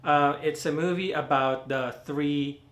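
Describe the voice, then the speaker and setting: A man's voice: a little high, steady tone, measured pace, a bit bright, echoey room. A man from the Philippines with a Filipino accent, giving a casual spoken movie review with lots of fillers and self-corrections. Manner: rambling, many fillers, laughs at own slips.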